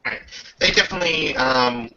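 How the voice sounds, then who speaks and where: A man narrating a tabletop game as game master in a halting way, often pausing mid-sentence, on a dull home microphone. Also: mid-range voice, pushed and bright.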